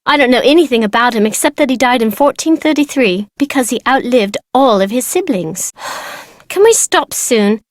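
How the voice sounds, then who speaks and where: A very high, girlish voice, close and dry, for a video game character played by a woman. She is a precocious young girl, proud of her family, who chatters casually with playful slang and teasing. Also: expressive, normal speed, no room sound, close to an everyday voice. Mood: bored.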